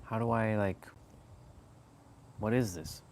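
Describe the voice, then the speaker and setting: A man's voice: fairly low pitch, takes it slowly, a little hiss or hum. A man hosting a casual livestream, rambling with many restarts and fillers and laughing often. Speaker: livestream host.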